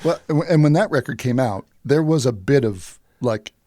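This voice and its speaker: A male radio host talking easily with his guest, steering the chat with relaxed, conversational questions. His voice sits at a middle pitch and sounds close and dry in the studio. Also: normal speed, no echo. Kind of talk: radio interview.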